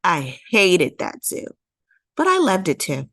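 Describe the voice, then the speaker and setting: A woman's voice: lowish voice, huge pitch swings, slow, fairly bright tone, no room sound, clean studio sound, full character voice. A woman voicing an elderly, hopeful great-grandmother telling her story in a children's storybook, with emphatic, lyrical phrasing. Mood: reminiscing. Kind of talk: children's storybook character reading.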